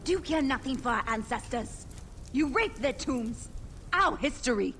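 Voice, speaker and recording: An indignant priestess. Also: female voice, high-pitched, expressive, normal speed. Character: indignant priestess defending her ancestors. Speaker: game character. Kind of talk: video game cutscene acting. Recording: game audio with faint ambient noise.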